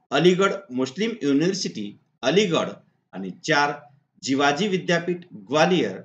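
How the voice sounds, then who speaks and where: Marathi guy going thru exam q&a step by step, teacher style, mid pitch, roomy echo. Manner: methodical, instructional, reading out points one by one. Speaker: teacher presenting exam answers. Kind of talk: scripted exam-prep lesson narration. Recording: echoey room, dull muffled audio.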